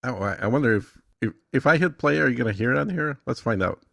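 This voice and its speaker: A man's voice: mid-range voice, lively intonation, fast, vocal fry, a bit bright. A man explaining things casually and with assurance, as a guest in a conversation. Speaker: podcast guest demonstrating software.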